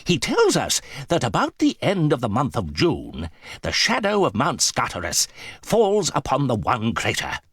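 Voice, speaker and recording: An actor playing an excitable older professor uncle in an audiobook drama, speaking in an emphatic, pompous, declamatory way. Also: male voice, mid-range voice, moderate pace, husky, no echo, clean studio sound, full character voice. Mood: lecturing, assured.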